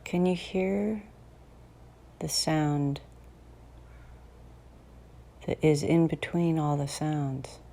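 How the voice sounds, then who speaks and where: A woman leading a guided meditation in a soothing, unhurried manner with long pauses, her soft voice sometimes dropping to near a whisper. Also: low-pitched. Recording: faint background noise and ambience.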